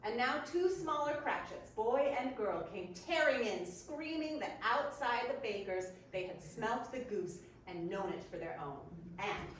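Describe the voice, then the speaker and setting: Woman narrator in a very echoey room. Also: medium pitch. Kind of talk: scripted storybook narration for readers theater. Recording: very echoey room, distant mic, faint noise.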